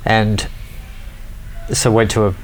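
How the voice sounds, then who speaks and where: A man with a lowish voice speaks casually, rambling through his opinions with frequent stumbles and restarts. A faint hiss runs underneath the recording. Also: completely flat delivery, slow pace. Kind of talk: casual chat.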